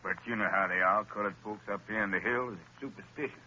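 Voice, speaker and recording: A man's voice: on the low side, fairly even intonation, fast, pushed and bright, highs cut off, a little hiss or hum. A man playing a folksy, plain-spoken rural sheriff on an old radio broadcast.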